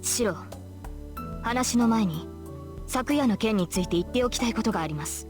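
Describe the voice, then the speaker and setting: A dignified young knight woman from a fantasy game, voiced by an actress, speaking quickly in a composed, formal way. Her voice sits a little high and stays stern and matter-of-fact. Music plays faintly underneath. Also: animated, close to an everyday voice. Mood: calm, formal. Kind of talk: Japanese anime-style game voice acting.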